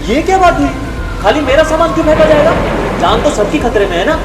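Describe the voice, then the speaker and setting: Man acting a shrill, panicked ship passenger. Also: lively intonation, fast talker. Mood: indignant. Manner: frantic, repetitive begging. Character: panicked male ship passenger pleading for his life. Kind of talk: Hindi audio story acting. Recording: very echoey, distant, under music.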